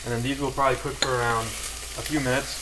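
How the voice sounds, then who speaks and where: Fast-talking man cooking over a sizzling pan. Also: medium pitch, level tone, pushed and bright, poor audio. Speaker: guest cook. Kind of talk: casual cooking instruction. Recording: clear noise of frying and sizzling. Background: sizzling pan in a cooking demo.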